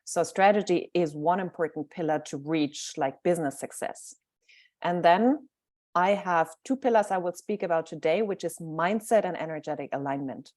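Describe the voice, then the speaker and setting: German-accented woman coach, lowish voice. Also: normal speed, darkish tone. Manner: informal, thinks aloud, many fillers. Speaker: mindset coach. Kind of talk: guest talk on a livestream.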